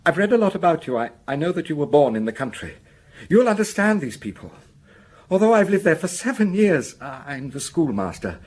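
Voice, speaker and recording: An actor playing an anxious, defensive village schoolmaster in a radio drama, earnest and hesitant, stumbling over repeated words. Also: male voice, on the high side, very animated, brisk, a little mellow, husky. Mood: anxious, pleading.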